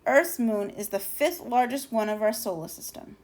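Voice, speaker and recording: A woman reading a children's storybook aloud, expressively, with deliberate dramatic emphasis and the odd stumble over words. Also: fairly high pitch, animated, darkish tone.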